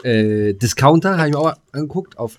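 A man's voice: on the high side, expressive, fairly quick. A man co-hosting a podcast in casual comedic banter, rambling, repeating his phrases and joking dryly. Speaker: podcast co-host.

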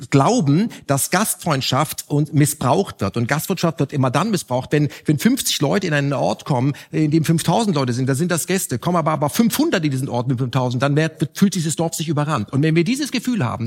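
Male panel guest, quick and emphatic, rough-edged voice, big reverberant room. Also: fairly high pitch. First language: German. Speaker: talk show guest. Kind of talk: opinionated panel discussion. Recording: reverberant hall.

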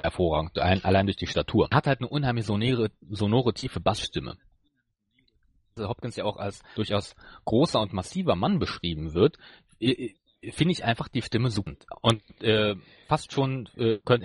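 Man, husky, slightly deep, hesitant casual review talk, dry sound. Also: no echo. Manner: hesitant, searching for words. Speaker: podcast co-host reviewing audio dramas. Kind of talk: casual discussion.

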